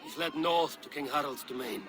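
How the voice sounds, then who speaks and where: An actor playing a fierce, vengeful Viking warrior loyal to the clan, in a dramatic game cutscene. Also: male voice, fairly high pitch, moderate pace, natural voice. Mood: grim, subdued.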